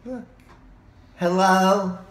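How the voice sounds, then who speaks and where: A middle-aged man who is hoarse and losing his voice, rambling excitedly from topic to topic in a home video, talking into a desk mic on a boom arm in his living room. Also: very slow. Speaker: vlogger. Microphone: desk mic on a boom arm, webcam framing. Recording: roomy home echo.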